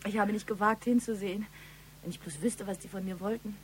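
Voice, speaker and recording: A woman's voice: medium pitch, steady tone, brisk, a little hiss or hum, close to an everyday voice, poor audio. An actress playing an effusive, girlish young shop assistant in a German radio crime drama. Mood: puzzled, grateful. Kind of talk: German radio play dialogue.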